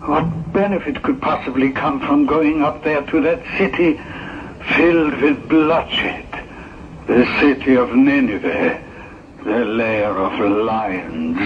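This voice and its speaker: A reluctant prophet, played by a man, broods aloud on a dull, noisy old recording. He speaks slowly and theatrically, weighing each thought, in the manner of a biblical radio play. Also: medium pitch, expressive. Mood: indignant doubt. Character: reluctant male prophet reasoning anxiously to himself. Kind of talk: 1960s biblical audio drama acting. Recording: muffled old 1960s tape, clearly noisy.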